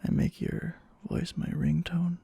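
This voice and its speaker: Guy acting as a needy obsessive boyfriend, asmr roleplay, super raspy half-whisper, slow n hesitant, creaky. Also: on the high side, little pitch movement, mellow, no echo, clean studio sound, exaggerated voice. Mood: tender, hesitant. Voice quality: breathy, husky half-whisper. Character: clingy, obsessively devoted yandere boyfriend, needy and anxious.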